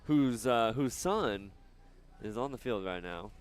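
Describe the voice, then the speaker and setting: A man doing live soccer commentary outdoors, chatting casually and haltingly between plays. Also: medium pitch, animated, clear, resonant tone. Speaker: sports play-by-play announcer.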